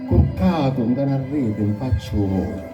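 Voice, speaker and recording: A man with a strong Sicilian accent recites poetry in a solemn, declamatory way. His mid-pitched voice sits a little away from the mic, with music clearly behind it. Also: animated, measured pace, far from the mic, highs cut off.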